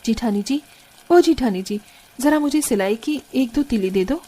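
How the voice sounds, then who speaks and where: A woman's voice: a little high, animated, normal speed, dark tone. A woman voicing a put-upon, self-respecting young sister-in-law in a cartoon story, plain-spoken and aggrieved. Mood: weary pleading. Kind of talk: Hindi cartoon story acting.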